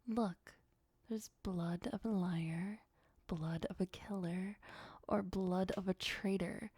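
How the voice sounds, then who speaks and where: Girl doing a clingy sweet vampire gf for asmr, slow n breathy, bit of creak, whispery and possessive. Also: medium pitch, no room sound, heavily acted. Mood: delighted, indulgent. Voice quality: soft, breathy, often whispered. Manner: sweet, teasing, softly possessive. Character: possessive, doting, ancient female vampire girlfriend. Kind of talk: ASMR roleplay.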